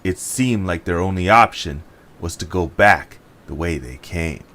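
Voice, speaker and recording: A man's voice: sits low, a little slow, a bit bright. A man narrating a scripted story for an audio drama.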